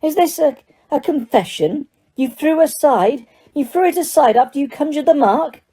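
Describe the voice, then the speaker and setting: A woman's voice: fairly high pitch, normal speed, dark tone, voice pushed up, natural voice. A woman voicing a stern, blustering male ministry wizard who barks officious, accusing questions. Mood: incredulous, accusing. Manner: barking, officious, interrogating.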